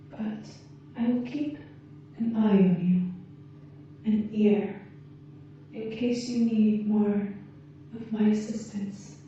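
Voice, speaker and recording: A woman playing a gentle, wise alien rescuer in an ASMR roleplay, speaking softly and earnestly in measured, slightly formal phrases. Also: mid-range voice, close to an everyday voice. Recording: muffled, very echoey, distant, faint background noise.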